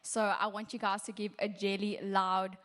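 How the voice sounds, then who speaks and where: A woman's voice: medium pitch, flat delivery, normal speed, fairly bright tone. A young woman, delivering an enthusiastic, encouraging welcome speech to first-year students. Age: young adult. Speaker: student committee speaker, master of ceremonies. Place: large lecture hall.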